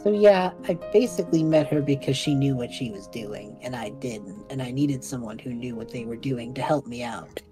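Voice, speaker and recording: A woman voicing a young male magic student in an audio drama, earnest, awkward and self-deprecating, speaking haltingly. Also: very deep voice, lively intonation, over music. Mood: casual. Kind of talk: audio drama dialogue, naturalistic.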